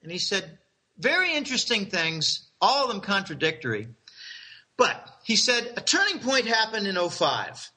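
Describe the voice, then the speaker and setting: A man's voice: high-pitched, very animated, normal speed, pushed and bright. A middle-aged man casually telling rambling, conspiratorial stories, chuckling and restarting his sentences.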